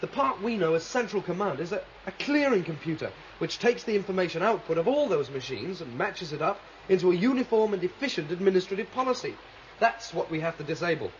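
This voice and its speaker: An actor playing a confident, idealistic rebel leader, explaining emphatically in a 1970s sci-fi radio drama. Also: male voice, high-pitched, expressive, fast. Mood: confident, scheming. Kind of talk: 1970s science fiction radio drama acting. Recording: dull vintage radio recording, faint noise, water.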